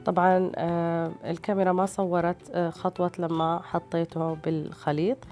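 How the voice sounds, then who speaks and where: A woman's voice: fairly low pitch, little pitch movement, moderate pace, darkish tone. A woman with a tired voice, narrating recipes as a cooking host in a casual, hesitant way. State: tired, strained voice. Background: background music.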